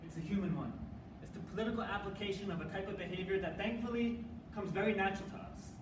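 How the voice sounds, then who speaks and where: A man performing rehearsed, dramatic spoken word in a measured, theatrical manner. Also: high voice. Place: live competition performance in a room. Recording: echoey room, distant mic, faint noise.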